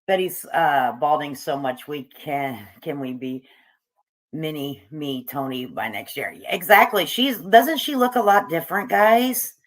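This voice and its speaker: Low-voiced woman giving her opinion. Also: expressive, a little slow. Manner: opinionated, hesitant with many fillers. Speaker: commentary YouTuber. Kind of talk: casual commentary on clips.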